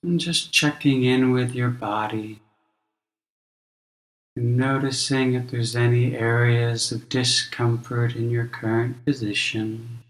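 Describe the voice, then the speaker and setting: A man leading a guided meditation as a teacher, speaking gently and in a measured, instructional way with long pauses. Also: mid-range voice, little pitch movement, reverberant, rough recording.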